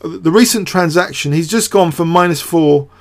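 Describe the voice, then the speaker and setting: A man's voice: a little high, normal speed. A man giving off-the-cuff game commentary as a show host, hesitant and thinking aloud with repeated words.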